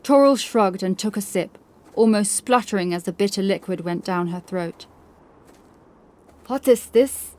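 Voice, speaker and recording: A woman's voice: medium pitch, moderate pace, darkish tone. Female audiobook narrator, scripted storytelling. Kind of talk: scripted narration of horror fiction.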